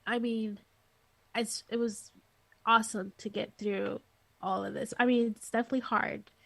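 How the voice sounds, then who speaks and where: Woman w/ soft sweet light voice, kinda high, lots of likes and ums, dry call audio. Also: little pitch movement, normal speed, gravelly, dry recording. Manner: rambling, filler-heavy, giggly. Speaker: interview guest, singer and music teacher. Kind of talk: casual interview over a call. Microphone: headphones on a call.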